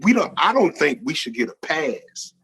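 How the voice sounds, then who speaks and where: Husky man reasoning in conversation. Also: a little high, sing-song, moderate pace, a bit bright. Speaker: podcast panelist. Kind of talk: reflective casual discussion.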